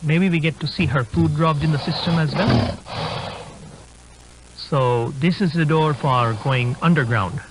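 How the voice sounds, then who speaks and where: A man plays an admiring male dinosaur keeper in a found-footage horror short. His voice sits a little high, and he comments casually as he films. Clear noise runs through the recording. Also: slow pace, long pauses. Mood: admiring, curious.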